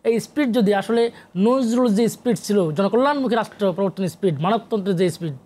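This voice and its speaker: A man's voice: high voice, fairly quick, a little mellow. A middle-aged man with a Bangladeshi accent, an academic guest on a talk show, explaining at length and emphatically, repeating phrases and dropping in English terms. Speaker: guest, academic sociologist and literary researcher.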